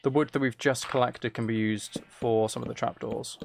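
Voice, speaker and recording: Gravelly-voiced man chatting on a game stream. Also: medium pitch, steady tone, moderate pace, a little hiss or hum. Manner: muttering to himself while playing, repeats phrases. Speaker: livestream host. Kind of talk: casual game stream chat, reading and answering viewer messages.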